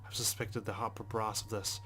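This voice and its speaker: Low-voiced man narrating, fairly even. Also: a little fast. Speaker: let's play host. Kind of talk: dramatic read-aloud narration of a visual novel with casual asides.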